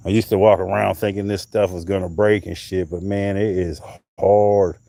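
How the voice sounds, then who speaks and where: A man's voice: low voice, level tone, moderate pace, gravelly, background noise. A man hosting a live stream, chatting casually and rambling as he shows pieces of coral, often trailing off mid-sentence. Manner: handling and showing coral pieces.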